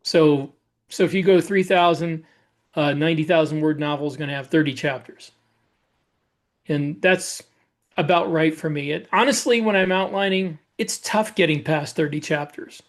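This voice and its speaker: A man with a somewhat high voice chats casually as a podcast co-host. He explains his own way of working in an informal, conversational style. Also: normal speed. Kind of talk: casual chat about craft.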